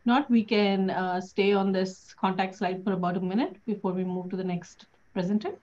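A woman's voice: mid-range voice, steady tone, moderate pace, a little mellow. A woman casually moderating questions at an online session, over a dull video call.

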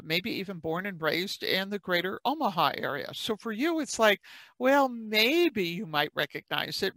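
Chicago lady teaching an online workshop, bright high voice, dry close sound, chatty. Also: no room sound, low-quality recording. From South Side of Chicago. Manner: chatty, animated, acts out quoted speech. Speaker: presenter.

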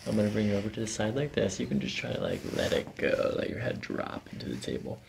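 A man in his thirties, a chiropractor, quietly guiding a patient in an ASMR style with soothing, repetitive short cues. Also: fairly low pitch, big pitch swings, quick pace, gravelly. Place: small carpeted treatment office. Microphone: boom-arm microphone near the treatment table. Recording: noisy room recording with clicks.

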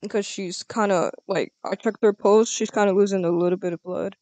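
A casual, halting speaker improvising a part in a video game roleplay. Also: mid-range voice, steady tone, warm tone, no room sound. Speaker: player acting as a doctor in a game roleplay.

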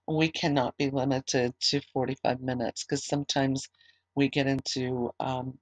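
A slightly hoarse woman leading a small online religious service, giving announcements in a conversational way with fillers and restarts, then praying. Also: extremely low pitch, no room sound, poor audio. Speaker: ministry leader.

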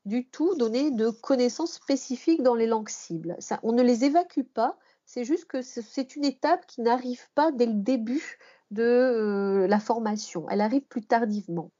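A woman's voice: on the high side, normal speed, dark tone, breathy edge. A woman explaining as an expert guest in a podcast interview, rephrasing and repeating points for emphasis. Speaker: guest expert, language teacher and researcher. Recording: muffled, band-limited podcast audio.

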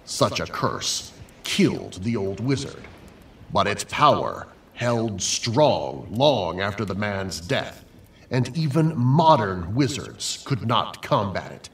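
A man's voice: on the low side, sing-song, slow pace, creaky. A man telling an old legend as dramatic scripted narration. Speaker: storyteller. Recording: echoey, distant, faint ambient noise.

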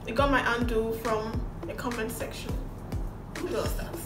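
High voiced nigerian girl, young woman, excitable casual storytime, echoey room. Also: moderate pace. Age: young adult. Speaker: makeup artist telling stories in a vlog. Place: small plain indoor room. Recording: roomy echo, distant mic, music behind.

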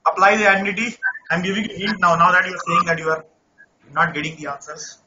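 A man runs a live online math class in a high, sharply bright voice. He coaxes students along, checks in with them again and again, and sounds a little off-voice. The audio is dull and lo-fi, with faint noise behind him. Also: steady tone, fast. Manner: coaxing, repeats checks like "right?". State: voice not normal, says he lost his voice. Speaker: math teacher.

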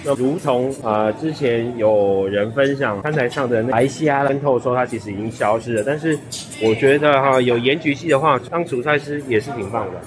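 A man's voice: mid-range voice, normal speed, a crowd in the background. Chatty Taiwanese man touring a buffet. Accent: Taiwanese Mandarin. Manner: chatty, lists dishes with frequent 哈 and 啊 tags. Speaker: food vlogger. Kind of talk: casual restaurant walkthrough. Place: busy hotel buffet restaurant indoors. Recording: noisy restaurant background.

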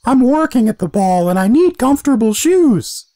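A woman playing a busy fairy-tale customer in a cartoon, with expressive, deliberate delivery. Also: on the high side. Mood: expectant. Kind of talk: animated fairy-tale dialogue.

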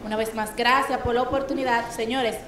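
A woman's voice: on the high side, fairly even intonation, brisk, roomy sound, a crowd in the background. A young adult woman, an actress and reporter, introducing herself on a TV show. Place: indoor restaurant by an aquarium. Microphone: handheld microphone.

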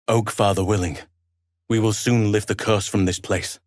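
A man playing a wise old elf druid in a video game, protective and solemn, speaking in measured, earnest, formal phrases. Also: fairly low pitch, steady tone, a little mellow, dry recording, studio quality, close to an everyday voice. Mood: solemn, hopeful. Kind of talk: video game character dialogue.

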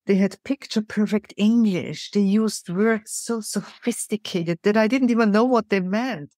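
Woman, middle-aged, mixed European accent, animated storyteller, dry close sound. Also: medium pitch, moderate pace, dry recording. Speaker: guest, keynote speaker. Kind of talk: storytelling interview answers.

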